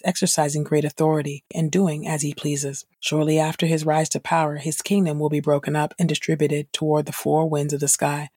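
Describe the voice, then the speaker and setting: A woman reading Bible passages aloud from a script in a measured, formal way, as a podcast host. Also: deep voice, darkish tone, husky, no echo, studio quality.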